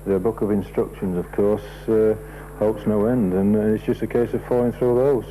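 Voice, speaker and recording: A man talks off the cuff in an interview, halting as he goes. His voice is low and extremely mellow, with a faint hum behind it. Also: fairly even intonation. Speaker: interviewee, amateur plane builder. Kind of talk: unscripted interview talk. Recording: noisy old TV broadcast, faint hum.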